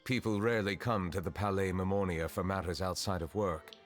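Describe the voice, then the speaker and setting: Man playing a deep-voiced, courteous chief justice. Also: steady tone, natural voice. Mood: polite, formal. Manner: formal, measured, precise phrasing. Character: composed, courteous, centuries-old male chief justice. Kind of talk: video game voice acting. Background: game music.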